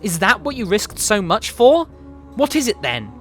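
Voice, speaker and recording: Man playing intense young male bird creature, urgent, challenging, higher voice, music behind, fantasy story. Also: huge pitch swings, moderate pace. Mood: alarmed, accusing.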